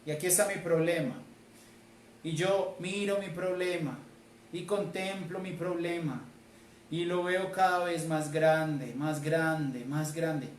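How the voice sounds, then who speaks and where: A man's voice: on the high side, steady tone, takes it slowly. A Colombian man, a church elder, preaching a sermon in an emphatic way, repeating key phrases. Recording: roomy, distant mic, faint noise.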